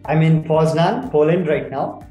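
A man in his twenties from India, with an Indian accent, explains things in a measured, articulate way over a roomy video call. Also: fairly high pitch, warm tone, soft background music. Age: 20s. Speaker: startup CEO being interviewed. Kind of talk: explanatory interview talk. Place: small indoor room, video call.

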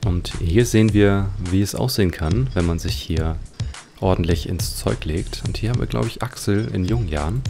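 A man's voice: low-pitched, normal speed, vocal fry. A man hosting a let's play with casual, musing commentary and wry understatement. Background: game music.

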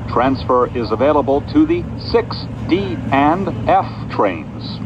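Man, subway announcer, mid pitch, lively intonation, muffled recording, clear vehicle noise. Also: slow pace.

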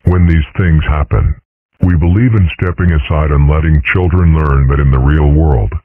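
A man's voice: extremely low pitch, normal speed, narrow, tinny audio. A man voicing a dismissive, pompous bull school principal in a cartoon comic dub, smug and bureaucratic.

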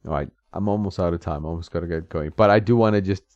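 A man's voice: low-pitched, quick pace, darkish tone, no echo. A man casually commenting while playing an old fighting game at home, chatting and laughing at the screen. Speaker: gaming channel host. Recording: slightly dull, dry sound with game music behind.

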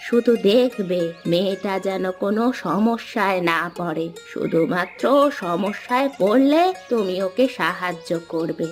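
Slow, low-voiced old grandmother acted by a woman. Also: close to an everyday voice. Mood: instructing. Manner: measured, advising, authoritative. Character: wise, gentle old village grandmother. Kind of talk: animated cartoon storytelling dialogue.

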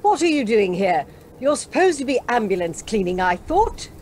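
A woman's voice: a little high, very animated, normal speed, a little mellow, slight background noise. An actress playing a stern, sarcastic woman commandant with a haughty, cutting manner in an audio play. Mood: icy, scolding.

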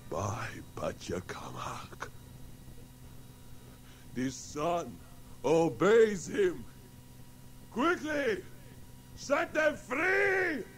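An actor playing a stern, proud Inca high priest in a radio drama, grand and solemn in manner. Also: male voice, big pitch swings, very slow, long pauses, fairly bright tone, a little hiss or hum, heavily acted. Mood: awed, urgent.

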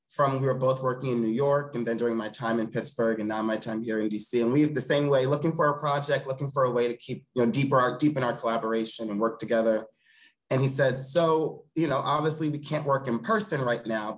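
A man's voice: mid-range voice, low-quality recording. A man in his thirties, a theatre director, talking casually as a guest on a video call from his living room. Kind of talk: casual conversational interview.

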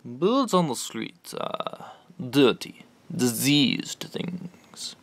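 An actor playing a menacing, brooding foreign hired killer in a radio drama, with deliberate, theatrical pauses and sudden swells. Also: male voice, mid-range voice, wildly swooping pitch, gravelly, no room sound, heavily acted. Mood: cold disdain. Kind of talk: comic-book radio drama acting.

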